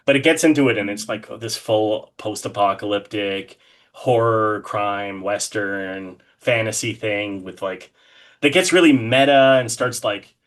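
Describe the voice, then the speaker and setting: A man with a fairly low voice talks as an interview guest. His delivery is informal and conversational, with an enthusiastic way of explaining things. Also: a little slow, fairly bright tone. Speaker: interview guest, horror artist.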